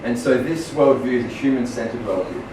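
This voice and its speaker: A man's voice: mid-range voice, brisk. A man with an Australian accent giving an earnest public presentation, with many ums and restarts. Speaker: speaker. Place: small venue, far from the mic. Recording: echoey room from far off, noisy.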